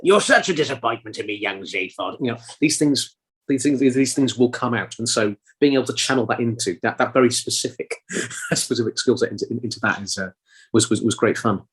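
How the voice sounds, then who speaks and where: A man's voice: mid-range voice, fairly quick, slightly rough. A man, an actor and audiobook reader, chatting in an interview in a rambling way with many restarts. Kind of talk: casual interview talk.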